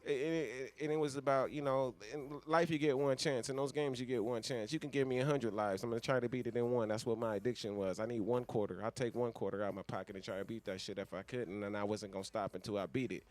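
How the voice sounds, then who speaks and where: A man with a clear, smooth voice and a middle pitch, hosting a radio show. He talks casually in street slang and leans on repeated phrases to drive his points home. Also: fairly even intonation, quick pace, few pauses, a little mellow.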